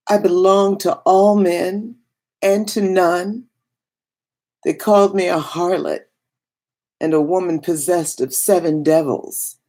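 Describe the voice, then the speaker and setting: Woman reading as wistful biblical-era woman, low-pitched, breathy, hushed, reverent, dramatic storybook monologue. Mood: reverent, intense. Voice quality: breathy, husky, often dropping to whispers. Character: Mary Magdalene, wistful, awestruck woman of biblical times. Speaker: reader.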